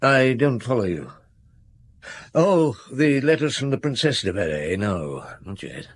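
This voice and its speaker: A police chief inspector in a radio play. His voice is husky and mid-pitched, with lively rises and falls. He is shrewd and patient, probing with dry, unhurried questions. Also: heavily acted. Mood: shrewd questioning. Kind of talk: radio drama acting with narration.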